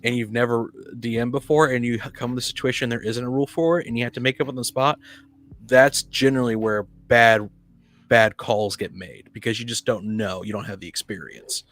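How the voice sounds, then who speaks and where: A man's voice: mid-range voice, fairly even intonation, brisk, fairly bright tone. A man in a casual panel discussion about tabletop gaming, speaking in an opinionated, blunt way. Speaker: podcast panelist.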